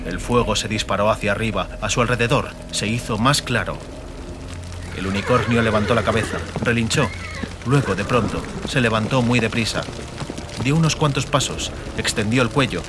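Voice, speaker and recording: A man narrating a fantasy audiobook dramatically, in measured, emphatic phrases. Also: mid-range voice, background noise. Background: music and atmospheric sound effects.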